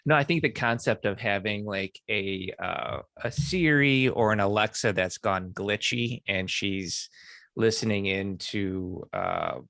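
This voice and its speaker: Podcast host: male, very low and gravelly, easygoing. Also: a little slow, dry recording. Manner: relaxed, many fillers and restarts. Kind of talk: casual podcast interview.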